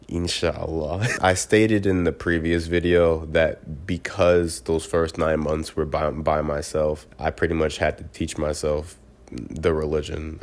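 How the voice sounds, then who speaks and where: A man with a congested, stuffy voice, talking earnestly to camera in a casual personal video reflection, often clipping and restarting words. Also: rumbling low. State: congested from a cold. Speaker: vlogger.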